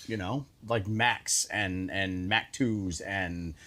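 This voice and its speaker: A man with a fairly low voice tells stories in a loose, conversational way. He hosts casually, circling back on phrases to stress them and throwing in the odd swear word. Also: slow pace, sharp tone. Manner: repeats phrases for emphasis, mildly profane. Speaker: show host. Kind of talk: casual storytelling chat.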